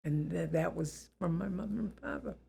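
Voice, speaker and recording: An elderly woman with a Boston accent, reflecting on her life in an interview in a deliberate, plain-spoken, good-humored way. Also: lowish voice, vocal fry, warm tone, breathy edge. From Boston area, USA.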